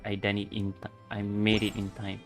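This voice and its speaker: A man's voice: on the low side, completely flat delivery, normal speed. A male streamer giving casual, laid-back commentary while he plays, laughing and swearing freely. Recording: game music behind.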